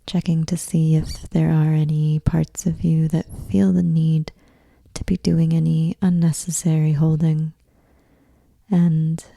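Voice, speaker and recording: A woman guiding a meditation in a soft, breathy near-whisper, with soothing, measured delivery. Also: low-pitched, dry recording. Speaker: yoga nidra meditation guide. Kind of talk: guided meditation.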